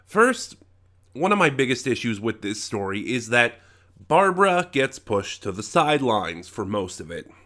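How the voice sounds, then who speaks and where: A man giving a casual, unscripted, opinionated review, stuffed up with a head cold, halting and often rephrasing as he thinks. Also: medium pitch, very animated, pushed and bright.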